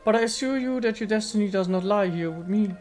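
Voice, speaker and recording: Man voicing an ancient, poised goddess spirit, pitched higher. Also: fast talker, darkish tone, soft background music, close to an everyday voice. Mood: serene, aloof. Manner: poised, formal, poetic. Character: ancient female statue goddess spirit, serene, aloof, contemplative. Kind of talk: dramatic reading of video game dialogue.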